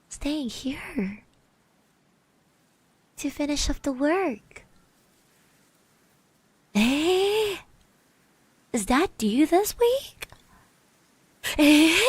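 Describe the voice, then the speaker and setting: A woman playing a shy, friendly schoolgirl classmate in an ASMR roleplay, speaking softly, gently and hesitantly. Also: high-pitched, no room sound. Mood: gentle, sympathetic, then panicked surprise. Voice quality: soft, breathy. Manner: hesitant, gentle, with little hums and trailing repeats. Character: shy, friendly high school girl classmate, admiring and easily flustered.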